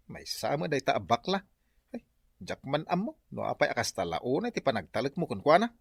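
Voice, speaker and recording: A man's voice: medium pitch, very animated, a little fast, gravelly, slightly rough, no room sound, studio quality, exaggerated voice. Whiny, swooping Ilocano comic character played by a man. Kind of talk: Ilocano comedy radio drama acting.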